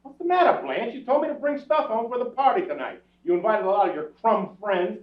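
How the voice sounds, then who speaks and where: A man's voice: high-pitched, animated, brisk, far from the mic. An actor playing a henpecked, wisecracking husband in his forties, sardonic and exasperated, in old-time radio comedy style. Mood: weary, defensive. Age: middle-aged. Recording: dull, echoey old radio recording, off-mic.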